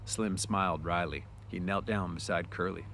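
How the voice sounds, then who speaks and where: A man's voice: low-pitched, steady tone, normal speed, vocal fry, fairly bright tone, a little hiss or hum. A man narrating a story aloud in an informal way, with casual asides.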